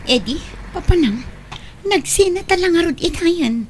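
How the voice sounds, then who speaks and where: A woman's voice: very high voice, lively intonation, a little slow, darkish tone. An actress with an Ilocano Filipino accent playing an anxious, polite but firm married woman in a radio drama. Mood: firm, annoyed. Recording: clear background noise and ambience.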